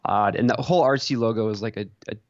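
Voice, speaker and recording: A man with a fairly low voice on a slightly dull, lo-fi recording with little room sound. He chats informally, like a podcast co-host talking sports and collecting. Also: fast, no echo. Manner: informal, conversational. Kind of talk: casual sports and hobby chat.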